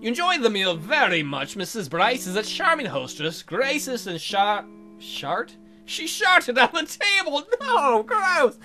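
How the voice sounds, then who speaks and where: A man's voice: very high-pitched, big pitch swings, unhurried, bright, forceful tone, faint music behind. A man hosting a let's play, reading a text adventure aloud with goofy, crude asides.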